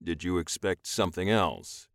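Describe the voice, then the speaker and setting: A man's voice: low voice, dry recording, studio quality. Man voicing a smug, domineering alien master. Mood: smug amusement. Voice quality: growling. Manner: commanding, amused, deliberate. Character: smug, domineering alien male slave buyer.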